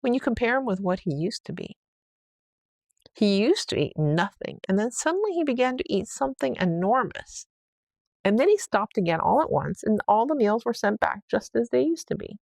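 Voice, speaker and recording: Give the storybook housekeeper as a woman reader acts her out a flustered, deferential manner. She explains things hesitantly and respectfully, puzzled and anxious. The voice has a creaky edge and sits close and dry. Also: on the high side, big pitch swings, normal speed, a little mellow, no echo, voice pushed up, heavily acted. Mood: earnest, bewildered. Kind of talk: storybook read-aloud character voice.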